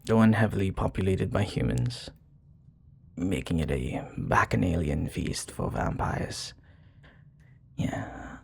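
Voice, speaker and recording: A man playing a remorseful, flirty vampire bartender in an intimate ASMR roleplay, soft-spoken and hesitant, with a breathy, husky voice. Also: low-pitched, expressive, slow, long pauses, heavily acted. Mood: hesitant, uneasy. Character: remorseful, flirty male vampire bartender confessing his past.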